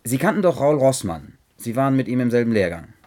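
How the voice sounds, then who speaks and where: A man's voice: medium pitch, big pitch swings, brisk, a little mellow, close to an everyday voice. Man acting investigator, earnest, polite, preoccupied, hesitant yet persistent, radio play, mid-range voice. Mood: preoccupied, inquiring.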